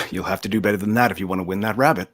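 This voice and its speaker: Playful, familiar father for radio play male performer, slightly low and brisk. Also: steady tone, close to an everyday voice. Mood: teasing. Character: teasing, folksy father at a carnival. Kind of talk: live audio drama read.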